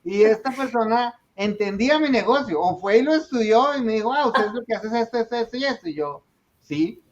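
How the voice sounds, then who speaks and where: A man's voice: extremely high pitch, a little fast. A man, a small business owner telling his story in a casual podcast conversation and acting out the voices of the people in it. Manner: animated storyteller, acts out quoted dialogue. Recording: dull lo-fi sound.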